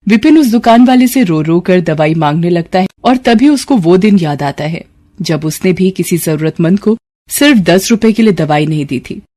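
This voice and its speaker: A woman's voice: fairly low pitch, expressive, normal speed, dark tone. A woman narrating a scripted moral story as its storyteller. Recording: clear background noise.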